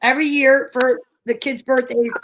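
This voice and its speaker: A woman's voice: sits high, steady tone, normal speed, background noise. A middle-aged American woman chatting casually as a talk show co-host, blunt and emphatic in her opinions. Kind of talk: casual chat.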